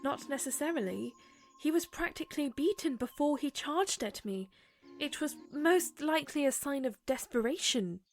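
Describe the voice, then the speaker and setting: A woman voicing a young princess in a fan audio drama, soft-spoken, anxious and full of self-doubt. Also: sits high, lively intonation, normal speed, faint music behind. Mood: thoughtful. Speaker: voice actor.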